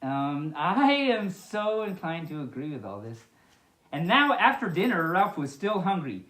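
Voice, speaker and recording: A woman reads a children's picture book in a lively, swooping voice. She keeps drifting into chatty, halting commentary of her own, playful throughout. The room rings with echo. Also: on the low side. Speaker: storyteller, host. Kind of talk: reading a picture book aloud with casual asides. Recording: echoey, reverberant room sound.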